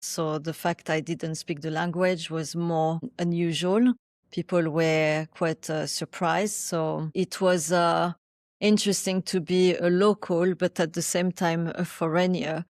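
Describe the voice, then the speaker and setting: Soft-spoken woman reflecting in an interview. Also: on the low side, little pitch movement, a little slow, no room sound, studio quality. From France. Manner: thoughtful, with frequent restarts and hesitations. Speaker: podcast guest.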